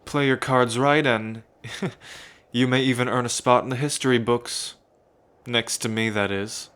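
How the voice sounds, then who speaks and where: Man playing a slow, gloating tyrant prince. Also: medium pitch, steady tone, fairly bright tone, clear, resonant tone, close to an everyday voice. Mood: menacing, smug. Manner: pompous, gloating, with frequent smug chuckles. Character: arrogant, sadistic male would-be emperor forcing a marriage. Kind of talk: ASMR roleplay villain monologue.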